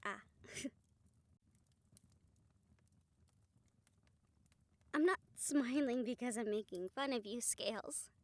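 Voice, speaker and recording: A woman playing a gentle, supportive rehabilitator comforting a friend in an intimate audio roleplay, speaking softly, earnestly and a little hesitantly. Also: on the high side, moderate pace, no room sound, close to an everyday voice. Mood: tender, amused. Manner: soft, hesitant, reassuring, earnest.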